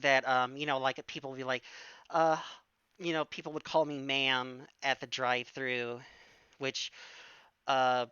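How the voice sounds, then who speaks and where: Bright, crisp woman, slow and halting. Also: rumbling low, level tone, dry recording, muffled recording. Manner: hesitant, many fillers and restarts. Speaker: stream guest. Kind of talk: casual Q&A chat.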